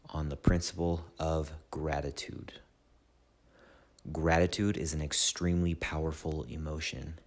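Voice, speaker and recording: A man leading a guided meditation in a soothing, measured way, with long pauses between short phrases. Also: rumbling low. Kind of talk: slow guided meditation narration.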